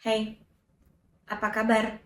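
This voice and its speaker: A young Indonesian woman with an Indonesian accent, presenting a language and culture lesson in a slow, deliberate way and demonstrating phrases. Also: on the high side, completely flat delivery. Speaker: language teacher. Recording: roomy echo with soft music.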